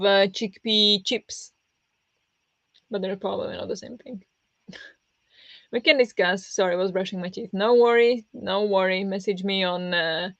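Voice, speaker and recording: Woman rambling on a book stream, pressed kinda raspy voice, dull lo-fi sound. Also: mid-range voice, dry recording. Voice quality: pressed voice that turns raspy easily. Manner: rambling, with many fillers and restarts. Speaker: book streamer hosting reading sprints. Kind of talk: casual livestream chat with viewers.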